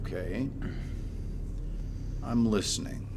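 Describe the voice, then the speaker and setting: An actor playing a male government interrogator who speaks in a measured, dry and pressing way. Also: fairly low pitch, noisy background. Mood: cool.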